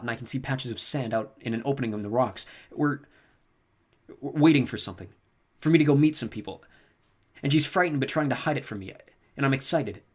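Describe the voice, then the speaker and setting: A man's voice: medium pitch, voice pushed up, lightly acted. A man voicing a serious, guarded teenage boy who speaks earnestly in an audiobook reading.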